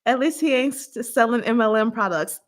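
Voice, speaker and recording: A woman hosting a casual live recap, talking in an expressive, playful, giggly way with emphatic asides. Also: a little high, normal speed. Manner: giggly, expressive, laughs often while talking. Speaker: host of a live recap and discussion. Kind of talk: casual livestream chat recapping a story.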